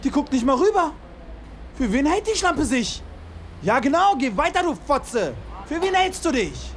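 An actor playing a cocky, crude teenage street lad in a radio drama, talking in loud catcalling slang. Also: male voice, very high-pitched, sing-song, normal speed, a little hiss or hum, full character voice. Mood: insulted, aggressive. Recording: loud music and street sounds behind.

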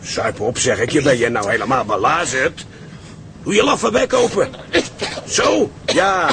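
A man acting a gruff, seasoned seaman in a Dutch radio drama, blunt and philosophical. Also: medium pitch, lively intonation, a little slow, a bit bright, slight background noise. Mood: gruff, forceful.

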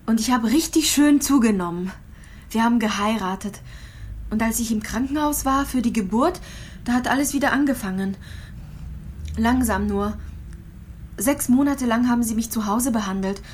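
An actress playing a gravely ill young immigrant mother, frail and resigned, confiding in halting, repeated phrases in a radio drama. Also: on the high side, slight background noise, natural voice. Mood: wistful, anxious. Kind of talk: intimate radio drama monologue and dialogue.

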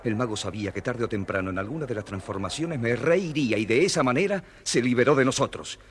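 An actor playing a proud, bold caliph turned into a stork in a children's audio storybook, theatrical and commanding. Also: male voice, mid-range voice, animated, quick pace, lightly acted. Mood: brooding, indignant.

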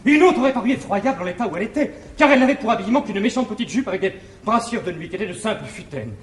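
A man playing a lovestruck, anxious young gentleman in a classic French farce, declaiming effusively and theatrically in a roomy old stage recording. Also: high-pitched, lively intonation, very fast, slight background noise. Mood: rapturous, effusive. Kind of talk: classical stage comedy acting.

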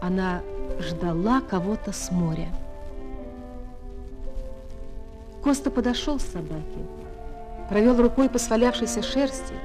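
A woman playing a schoolteacher who narrates a children's audio play with expressive storytelling. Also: mid-range voice, normal speed, natural voice. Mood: wistful. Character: female schoolteacher quietly following and admiring her pupil. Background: music.

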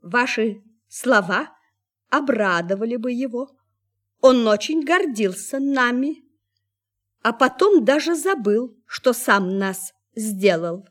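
An actress playing an ageless, gentle android mother in a radio drama, speaking with wistful resignation. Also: fairly high pitch, lively intonation, takes it slowly, full character voice. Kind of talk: Soviet radio drama acting.

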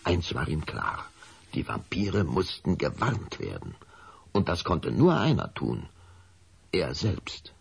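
A man narrating a German children's radio play as a measured, suspenseful storyteller. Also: low-pitched, huge pitch swings, gravelly, husky, exaggerated voice.

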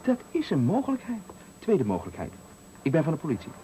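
An actor in a Dutch radio play playing a taunting, menacing stranger who speaks with deliberate, needling politeness in a Netherlands accent. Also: male voice, fairly high pitch, huge pitch swings, warm tone. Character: menacing, taunting male stranger cornering a man at night. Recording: faintly noisy 1980 radio recording.

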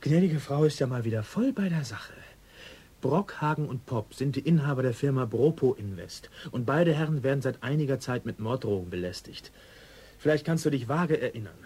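An actor playing a grumpy, sarcastic police colleague and pub regular in a naturalistic radio drama, gruff and sardonic. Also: male voice, medium pitch, expressive, fairly quick, mellow. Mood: sarcastic, exasperated.

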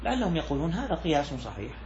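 A man's voice: a little high, big pitch swings, very fast, darkish tone. Guy teaching a fiqh text to students, keeps repeating himself and asking the room, dull muffled audio with a bit of hiss. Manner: repeats key phrases, poses questions to students. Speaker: sheikh teaching Islamic jurisprudence. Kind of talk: classroom lecture explaining a text.

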